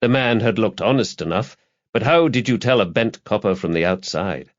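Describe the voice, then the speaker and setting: A man's voice: fairly low pitch, lively intonation, normal speed, highs cut off. A man reading a crime novel aloud as an audiobook narrator, in a scripted literary style.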